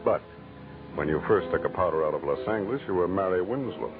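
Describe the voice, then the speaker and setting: A man's voice: deep voice, lively intonation, quick pace, narrow, tinny audio. A man playing a skeptical, no-nonsense detective in a 1940s radio drama, dry and level in his delivery. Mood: firm, accusing. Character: firm, skeptical male detective, even and level. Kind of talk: 1940s hard-boiled radio acting. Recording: thin old radio, music behind.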